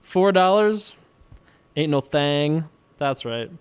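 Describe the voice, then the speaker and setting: A young man talks through his poker hands in a loose, jokey way, often chuckling at his own remarks and drawing out mock pondering. His voice sits a touch high for a man and comes across smooth and clear. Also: animated, slow pace. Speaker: poker vlogger. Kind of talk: casual playful commentary over online poker.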